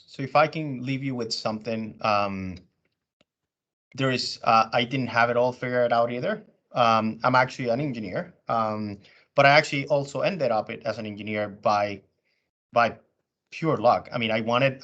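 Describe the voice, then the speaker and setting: A man from Venezuela talks about his career in a relaxed, halting way, stopping often to find his words. His pitch is ordinary. The audio sounds dull and lo-fi. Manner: hesitant, many ums and restarts. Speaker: panelist in business development. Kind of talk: casual panel talk.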